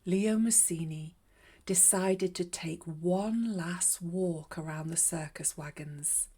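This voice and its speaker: A woman reading a children's story aloud in a measured, expressive way. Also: on the low side, breathy edge. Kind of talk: scripted storybook narration.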